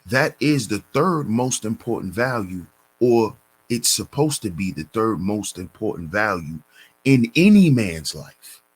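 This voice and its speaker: A man hosting a commentary channel, reading a news story and lecturing on it in a deliberate, preachy way, calling his listeners brothers. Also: fairly low pitch, big pitch swings, breathy edge, low-quality recording.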